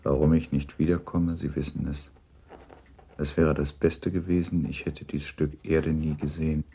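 An actor as the sober, restrained narrator of a period novel in a radio drama, measured, grave and understated. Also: male voice, rumbling low, little pitch movement, creaky, warm tone, breathy edge, full character voice.

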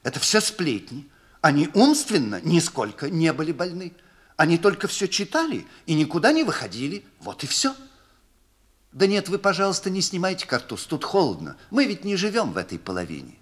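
A man voicing a shy, flustered young country landowner in a literary audiobook, speaking in a hurried, stumbling and touchy way. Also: a little high, huge pitch swings, husky, pitched above the performer's own voice, full character voice. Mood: hasty, polite. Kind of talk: acted dialogue in literary audiobook.

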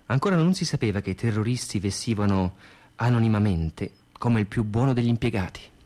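A man reading scripted radio theatre narration in an expressive, deliberate delivery. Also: lowish voice. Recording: music under the voice. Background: music.

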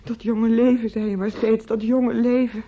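Anguished woman, dark muffled old recording. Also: on the high side, little pitch movement. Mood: sorrowful. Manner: theatrical, halting with repetitions, pleading. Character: anguished, talkative older female relative breaking terrible news. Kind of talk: Dutch radio play acting. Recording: muffled, noisy old radio play recording.

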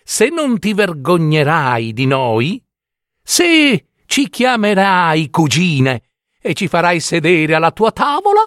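A man's voice: sits high, a bit bright, no echo, studio quality, voice pushed up, exaggerated voice. A man voicing odd spinning women in a fairy tale, coaxing and insistent as they strike a bargain. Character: three odd spinster women, bargaining helpers.

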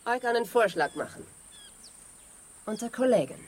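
An actress playing a cool, assertive American television reporter in a radio drama, direct and challenging. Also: female voice, fairly high pitch, animated, normal speed, natural voice. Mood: businesslike. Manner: direct, challenging, switches into English.

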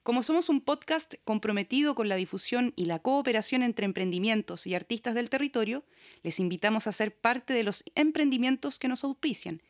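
A woman's voice: fairly high pitch, lively intonation, a little fast, no echo, clean studio sound. A Chilean woman reading scripted announcements as a podcast host. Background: background music.

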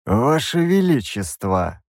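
A man voicing a stern, zealous bishop who speaks coldly, with a measured hiss. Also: medium pitch, very animated, gravelly, no echo, clean studio sound, full character voice. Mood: cold, menacing. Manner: cold, hissing, measured.

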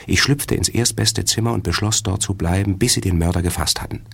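An actor playing detectives and frightened men in old radio crime dramas, unstrained delivery. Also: male voice, deep voice, fairly quick, gravelly, a bit bright. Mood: tense. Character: adult male characters, from a frightened coward to a hard-boiled detective.

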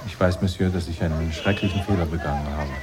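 An actor playing an anxious, dutiful husband in a radio drama, speaking earnestly and with urgency. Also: male voice, low-pitched, fairly even intonation, fast, close to an everyday voice. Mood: apologetic, pleading. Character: anxious, dutiful husband pleading for his wife.

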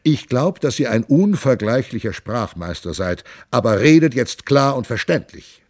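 A man's voice: medium pitch, animated, normal speed, a little mellow, no room sound, clean studio sound, voice pushed up. A man voicing a noble, hearty giant prince in an audiobook reading, with stately, emphatic old-fashioned speech. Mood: hearty, commanding.

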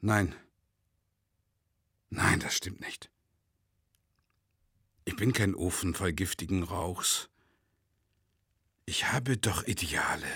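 An actor playing a tormented, self-doubting man who narrates a radio drama monologue in a brooding, intense way, with a gravelly, husky voice. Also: low voice, lively intonation, slow pace, long pauses, pushed and bright, no echo, clean studio sound, full character voice. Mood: anguished, defensive. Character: tormented, self-doubting, impoverished male travelling lecturer. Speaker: first-person narrator.

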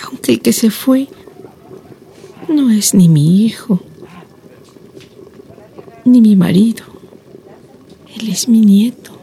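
An actress playing a lonely, wistful old blind village grandmother in a radio drama monologue, breathy and creaky, reflecting with sighs and long pauses. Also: a little high, dark tone, slight background noise. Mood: wistful, resigned. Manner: slow reflective storytelling, sighing interjections, long pauses.

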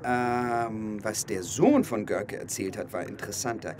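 A man's voice: fairly low pitch, measured pace, a little mellow, slight background noise, lightly acted. An actor playing an earnest, indignant young German man who recounts a court trial in a radio drama.

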